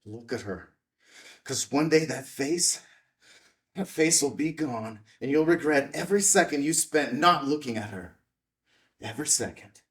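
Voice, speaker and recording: A man's voice: mid-range voice, expressive, measured pace, recorded from a distance, close to an everyday voice. Weary, grieving male investigator acted by a man, mid-range voice, film drama read, picked up from off-mic. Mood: confrontational, bitter. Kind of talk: table read of film script.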